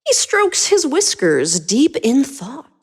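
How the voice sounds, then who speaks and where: Lively woman narrating a story. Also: high voice, takes it slowly, slightly rough.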